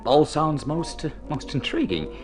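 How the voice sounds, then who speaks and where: An actor in a vintage radio drama playing a courteous, confused man with memory loss who speaks in a halting, stammering way. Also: on the high side, expressive, music in the background. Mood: hesitant, polite. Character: bewildered, courteous amnesiac man, hesitant and evasive. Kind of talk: golden age radio drama acting.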